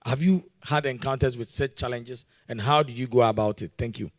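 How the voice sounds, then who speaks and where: A man from Ghana asking a question from the floor in a conference meeting room. He works through his point earnestly, circling back to words as he goes. His voice sits at an ordinary middle pitch. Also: a little fast. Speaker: audience questioner.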